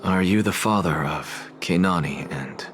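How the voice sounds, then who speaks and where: An actor playing a grave, composed scholar in a game, speaking in a solemn, measured way. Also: male voice, low voice, a bit bright. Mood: solemn, regretful. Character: grave, composed scholar and guardian, adult man. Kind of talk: dramatic game voice acting. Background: clear background music.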